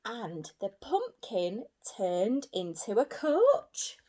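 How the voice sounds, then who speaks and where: Slow-paced woman reading to young children. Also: fairly high pitch. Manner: animated, simple repeated phrases with asides to the listeners. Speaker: early years teacher. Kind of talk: read-aloud storytelling for young children.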